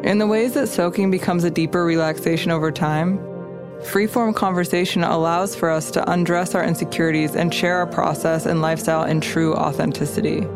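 A woman from California hosting a podcast, reading a scripted intro and then asking gentle, curious questions in a casual interview. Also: fairly low pitch, steady tone, normal speed, over music. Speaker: podcast host. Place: clawfoot bath outdoors under a tree.

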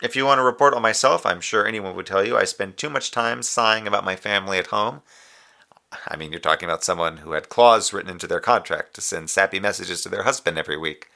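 An actor playing a middle-aged, conscientious moonbase communications officer in a sci-fi audio drama, with a gravelly, wry, weary delivery. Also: male voice, low voice, quick pace, bright, forceful tone, exaggerated voice. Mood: restrained, dry. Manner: formal reporting with dry sarcasm and tenderness. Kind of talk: audio drama monologue as a radio report.

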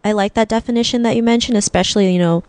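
A woman's voice: medium pitch, steady tone, very fast, darkish tone, no echo. A woman hosting an interview podcast, chatting in an upbeat way and often saying "like" and "right?". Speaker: podcast host. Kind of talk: casual interview chat.

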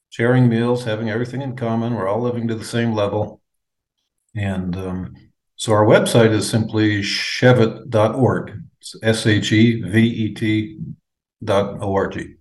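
An American man in his fifties, giving a reflective faith testimony as an interview guest, measured and hesitant with frequent fillers. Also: medium pitch, a little mellow, poor audio. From United States. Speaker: guest, aid organization leader.

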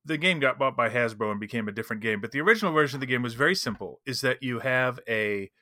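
A man's voice: medium pitch, lively intonation, quick pace, bright, forceful tone, no echo, clean studio sound. A man co-hosting a podcast, chatting casually and emphatically about tabletop role-playing. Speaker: podcast co-host.

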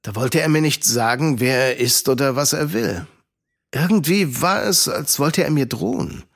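A man's voice: a little high, moderate pace, creaky, slightly rough. A man playing a guarded uncle who mentors children, in a children's audio drama. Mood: thoughtful, troubled.

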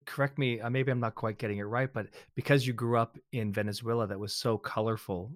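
A man's voice: mid-range voice, fairly even intonation, brisk, no room sound, studio quality. A man hosting an interview, curious and rephrasing the guest's points to check understanding. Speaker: interviewer host. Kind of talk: conversational interview.

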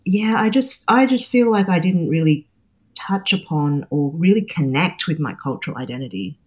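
A woman's voice: low-pitched, lively intonation, normal speed, husky, muffled recording. A woman in her forties with an Australian accent, a chef talking as an interview guest, thoughtful and full of restarts. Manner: thoughtful, many fillers and restarts. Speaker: guest, chef and cookbook author. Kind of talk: reflective interview conversation.

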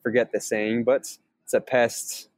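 An upbeat, energetic man co-hosts a casual chat and explains his trade with easy enthusiasm. His pitch sits in the middle range. The recording is dry and close, with no echo. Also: measured pace. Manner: upbeat, energetic, laughs easily. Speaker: podcast co-host, sales trainer. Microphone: remote call, each on own mic.

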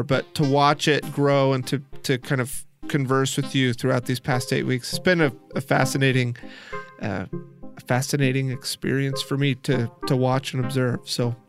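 A man's voice: mid-range voice, little pitch movement, moderate pace, music in the background. A man hosting a podcast, moving between conversational interviewing and scripted narration. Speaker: podcast host and producer.

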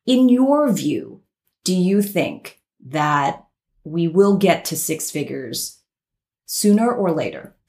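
Female interview host, low gravelly voice, measured questioning. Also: sing-song, darkish tone.

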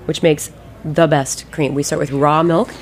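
A woman's voice: sits low, fairly even intonation, measured pace, slight background noise. A woman in her late thirties with an American accent, talking informally and emphatically as a guest in a casual radio interview, often repeating words for emphasis. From Ohio, United States. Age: late 30s. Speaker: ice cream maker and business owner, interview guest.

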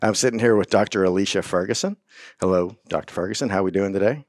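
A man hosting a podcast, chatty and quick to laugh, often restarting his sentences. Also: sits low, no room sound, studio quality. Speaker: podcast host. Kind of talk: casual interview chat.

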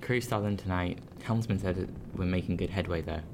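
A man acts as a young sailor lad in a radio play, earnest and worried. The voice is very low, and the delivery is deferential and hesitant. Clear noise runs behind it. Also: fairly quick. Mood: respectful, reserved. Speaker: actor. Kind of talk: radio drama acting.

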